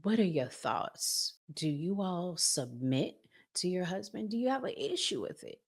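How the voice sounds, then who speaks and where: A woman's voice: lowish voice, very animated, a little slow. A woman hosting a relationship podcast, talking to viewers in an emphatic, preachy and informal way, often with rhetorical questions. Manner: informal, colloquial phrasing. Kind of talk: conversational opinion talk to viewers.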